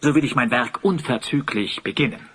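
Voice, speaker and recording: A man playing a vain, scheming master sculptor in a fantasy audio drama, speaking with flattering, theatrical grandeur. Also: mid-range voice, brisk, breathy edge. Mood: courtly, flattering.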